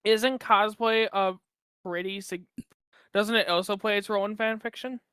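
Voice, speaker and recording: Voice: mid-range voice, fairly even intonation, moderate pace, pushed and bright, clear, resonant tone, no room sound. Blunt teasing podcast co-host. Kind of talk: casual chat.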